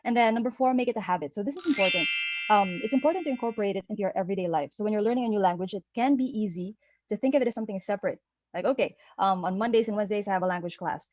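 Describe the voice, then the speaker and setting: A woman's voice: medium pitch, rapid-fire, darkish tone. A Filipino woman in her thirties, a native Tagalog speaker, gives an online presentation over a video call. She speaks in a friendly way, often checks in with "okay?" and uses many "uh" fillers. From Philippines. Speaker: guest speaker.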